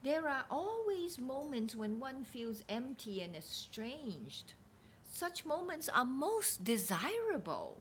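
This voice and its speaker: Slow-reading woman, deliberate and emphatic. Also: fairly high pitch, a little hiss or hum. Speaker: spiritual teacher reading aloud. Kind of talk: slow dramatic reading of spiritual dialogue with casual asides.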